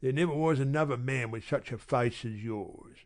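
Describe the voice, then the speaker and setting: A woman reading an audiobook, voicing a gruff, menacing male robber in a lower, louder voice. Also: normal speed. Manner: gruff, threatening.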